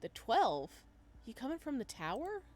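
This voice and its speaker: A woman's voice: on the high side, wildly swooping pitch, normal speed, a little mellow, voice pushed up. A woman voicing an easygoing, caring young friend with a casual, breezy manner in a visual novel. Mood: friendly, casual.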